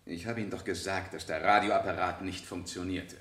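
Guarded, low-voiced defendant. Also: male voice, fairly even intonation, a bit bright. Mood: defensive. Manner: halting, guarded, plain-spoken witness. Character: defensive middle-aged male office executive on trial. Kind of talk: 1970 German radio play acting. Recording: echoey studio courtroom, older radio production.

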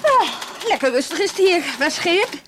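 An actress playing a brisk, sardonic police inspector in a radio drama, with a dryly blunt manner. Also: female voice, very high-pitched, very animated, noisy background.

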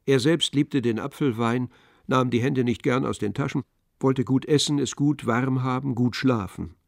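Male narrator, medium pitch, measured scripted storytelling, composed, close dry studio sound, radio drama. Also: vocal fry, dry recording. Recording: dry studio with faint music.

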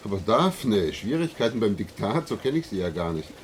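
An actor playing a brisk, wry government official in a science-fiction radio drama. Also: male voice, on the low side, sing-song, crowd noise. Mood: businesslike. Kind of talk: German science-fiction radio play dialogue.

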